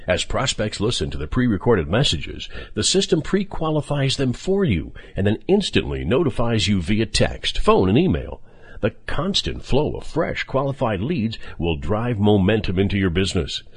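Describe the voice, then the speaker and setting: A man announcing a scripted radio commercial in a polished sales pitch. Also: big pitch swings, normal speed, husky, dry recording.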